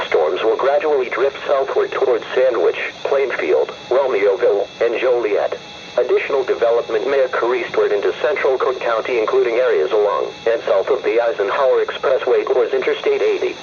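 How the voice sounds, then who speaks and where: A man's voice: medium pitch, animated, a little fast. A synthetic man's voice reading a scripted weather alert over the radio in a formal, impersonal bulletin manner. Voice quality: synthetic text-to-speech voice. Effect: weather radio broadcast. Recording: dull lo-fi radio with faint noise.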